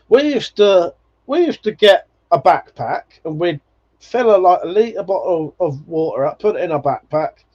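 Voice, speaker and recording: A man in his forties talks loosely on a live stream, reading viewer comments and drifting into nostalgic memories. He swears freely and laughs often, and his voice sits a little high for a man. The sound is dull and lo-fi. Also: animated, unhurried, darkish tone. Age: 40s. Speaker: livestream host.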